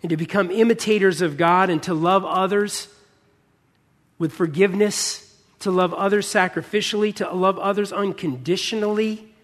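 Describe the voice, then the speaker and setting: An American man with a touch of Midwestern accent preaches a sermon in a church auditorium, earnest and leaning on repetition for emphasis. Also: high voice. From United States, born in Michigan. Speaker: pastor preaching. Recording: long hall reverb.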